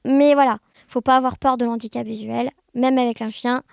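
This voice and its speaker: A woman chatting casually as an interview guest, telling anecdotes in an animated, conversational way. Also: fairly high pitch, normal speed, creaky, no echo, studio quality. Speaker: podcast guest, blind guide dog user sharing experiences.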